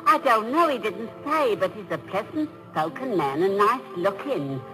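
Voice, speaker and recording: An actress playing a chatty, deferential London theatre maid with a British accent and informal speech in a 1950s radio drama. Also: female voice, medium pitch, sing-song, moderate pace, music in the background, natural voice, low-quality recording.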